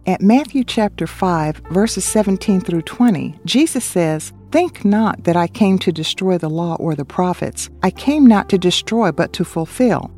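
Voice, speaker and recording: A woman co-hosting a radio ministry, reading a scripted Bible study in a measured way and citing chapter and verse. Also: medium pitch, warm tone.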